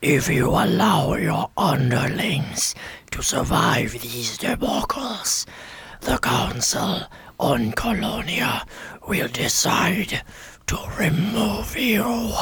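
A man playing a sinister, imperious alien overlord in a science fiction radio drama, in a raspy, menacing whisper full of contempt for underlings. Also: sits high, very animated, takes it slowly, fairly bright tone, full character voice. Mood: menacing, contemptuous.

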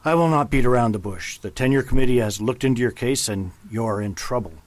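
A man's voice: medium pitch, a little fast, dry recording, close to an everyday voice. A man playing a cold, formal chancellor. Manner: cool, clipped and formal. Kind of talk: radio drama acting.

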